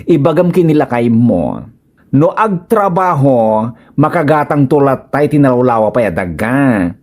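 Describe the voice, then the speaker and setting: Woman acting as gossipy village friend, low female voice, very animated, Filipino accent, teasing, drawn-out phrasing, radio comedy. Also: heavily acted.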